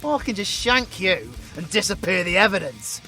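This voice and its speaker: A man plays a cocky young warlock villain with a British accent. His voice sits high and swings about lively, with a forceful edge. He taunts in crude slang, foul-mouthed and irreverent, over music in an audio drama. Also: moderate pace, heavily acted. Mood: cheeky banter. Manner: mocking, sweary, slangy banter. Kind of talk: audio roleplay acting. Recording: music behind the voice.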